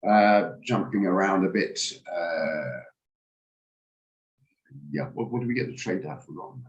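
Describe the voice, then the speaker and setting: Hoarse low-voiced man, halting. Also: dull audio. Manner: hesitant, stammering restarts, cautious. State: hoarse, recovering from flu. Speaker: trading room host. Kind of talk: live market commentary on a video call.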